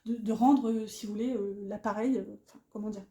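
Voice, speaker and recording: A woman with a very soft, dark-toned voice that sits a little high. She talks as a tarot reader guiding her viewers, improvising and feeling for her words, in a room with clear echo. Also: fairly even intonation, brisk. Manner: hesitant, searching for words, chatty asides. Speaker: tarot reader hosting an online guidance. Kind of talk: casual, improvised tarot reading addressed to viewers. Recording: roomy, echoey sound.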